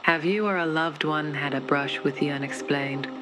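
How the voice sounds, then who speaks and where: Actress as low-voiced, earnest commercial spokeswoman. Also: fairly even intonation, moderate pace, loud music behind the voice, natural voice. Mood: earnest, reassuring. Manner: earnest, reassuring pitch. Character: earnest female spokesperson for monster survivors' support group. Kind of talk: parody commercial voice-over.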